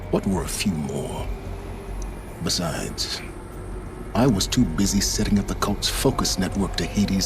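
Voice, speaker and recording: An arrogant, secretive scholar in a cinematic video game. His voice is deep, and he speaks in measured, articulate phrases with cool self-regard. Music plays clearly behind him. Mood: cool, self-satisfied. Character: aloof, calculating older male scholar, secretive and arrogant.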